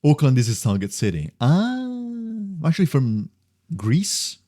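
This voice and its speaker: A man's voice: fairly high pitch, huge pitch swings, measured pace, warm tone, dry recording, studio quality. A man chatting casually on a game livestream, thinking aloud in a rambling, repetitive way. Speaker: game streamer. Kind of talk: casual livestream chat while gaming.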